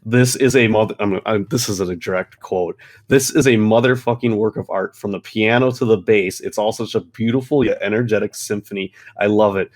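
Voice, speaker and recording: A man with a fairly low voice chats as a gaming podcast host. He rants in a theatrical, exasperated manner and swears freely. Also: brisk. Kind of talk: ranting casual chat.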